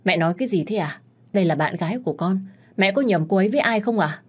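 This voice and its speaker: A woman's voice: on the low side, normal speed, darkish tone, slightly rough. A woman voicing an anxious, protective young man, urgent and pleading, in an audio story. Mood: urgent confusion.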